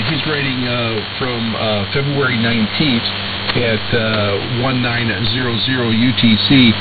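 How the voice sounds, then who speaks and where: A man hosting a hobby radio show, reading listener mail in a halting way with very frequent filled pauses, heard over shortwave radio. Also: lowish voice. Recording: hissy, humming narrow-band shortwave radio broadcast.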